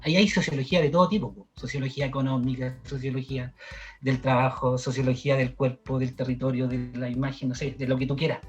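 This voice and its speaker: A Chilean man from the south, a sociologist, answering interview questions in a halting way with many restarts. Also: medium pitch, level tone, soft background music. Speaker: sociologist guest.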